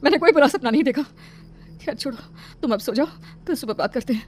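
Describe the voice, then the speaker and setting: A woman's voice: high voice, fast talker, darkish tone, slight background noise, lightly acted. A woman playing an anxious but brave village aunt in a horror cartoon, urgent and pleading. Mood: urgent, worried. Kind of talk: Hindi horror cartoon voice acting.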